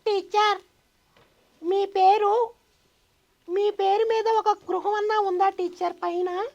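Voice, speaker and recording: Puppet show kid: woman playing cheeky, naive little boy, extremely high creaky squeak, pestering questions, muffled lo-fi sound. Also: flat delivery, takes it slowly, a little mellow, heavily acted. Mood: teasing, pestering.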